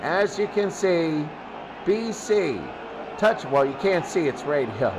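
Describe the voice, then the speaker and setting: Male sports announcer, high voice, unhurried pace, football play-by-play, noisy recording. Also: expressive. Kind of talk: live football commentary and stat recaps. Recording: clear background noise.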